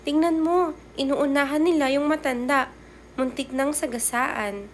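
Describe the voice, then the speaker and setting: A woman voicing a loyal old talking bicycle in a children's storybook, coaxing and animated as it reassures. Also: sits high, a little slow, voice pushed up. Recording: clear background noise.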